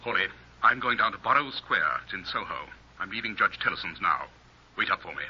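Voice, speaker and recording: A muffled old radio recording of a commanding gentleman. His voice is lowish and husky with very varied intonation, measured and probing in 1940s radio style. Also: lively intonation, full character voice. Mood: brisk, polite. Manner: measured, authoritative, questioning. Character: composed, commanding English gentleman, brisk and probing.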